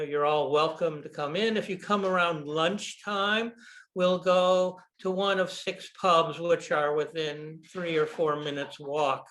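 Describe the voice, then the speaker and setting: Slow-spoken older American man. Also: fairly high pitch, sharp tone. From United States. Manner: deliberate, with frequent ums and uhs. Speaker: guest speaker, bookshop owner. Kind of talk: presentation lecture over video call. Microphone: video call.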